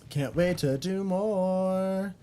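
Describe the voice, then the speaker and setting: A young man streaming a game, chatting casually with his viewers, swearing and reading chat aloud. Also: very slow. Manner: chatty, swears, reads chat aloud, swings between flat mutters and high squeals.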